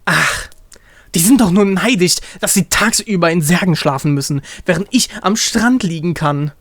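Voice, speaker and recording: A man's voice: high-pitched, moderate pace, fairly bright tone, dry recording, clean studio sound, pitched above the performer's own voice. A man voicing a carefree, silly young male vampire in a story, chatty, exclamatory and a little whiny. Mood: defensive, boastful.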